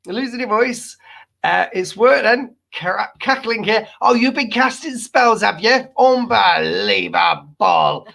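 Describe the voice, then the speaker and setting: Gravelly man losing his voice. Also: high voice, unhurried, bright, forceful tone. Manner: playful and jokey, repeating exclamations. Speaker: host of a live stream. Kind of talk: casual live-stream chat reading viewer comments.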